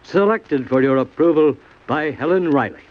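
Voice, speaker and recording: Theatrical, very expressive radio host, male. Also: a little high, normal speed. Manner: formal, theatrical. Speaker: host of a mystery anthology. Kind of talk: dramatic 1940s radio hosting. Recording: muffled old radio broadcast recording.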